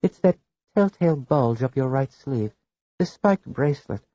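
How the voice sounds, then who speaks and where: A man voicing a small, mild old priest in a story reading, speaking gently, politely and knowingly. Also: mid-range voice, animated, normal speed, dry recording, voice pushed up, lightly acted. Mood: gentle. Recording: dull lo-fi dry recording.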